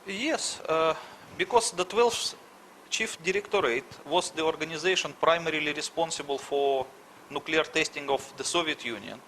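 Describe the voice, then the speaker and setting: A middle-aged man from Russia with a Russian accent, answering interview questions haltingly and searching for words. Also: on the high side, bright, forceful tone, slight background noise, poor audio.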